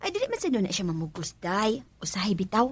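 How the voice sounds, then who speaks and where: A woman's voice: medium pitch, wildly swooping pitch, a little fast, husky, no echo. A woman playing a chatty, earthy senior housemaid in a radio drama, gossipy and bossy, with a Filipino accent. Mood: gossipy, teasing.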